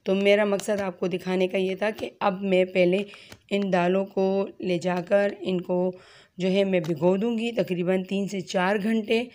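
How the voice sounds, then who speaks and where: A woman with a hoarse, sore throat, chatting straight to viewers as she hosts a casual home cooking tutorial, filmed on a phone in her kitchen. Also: mid-range voice, little pitch movement, unhurried, darkish tone. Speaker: cooking channel host.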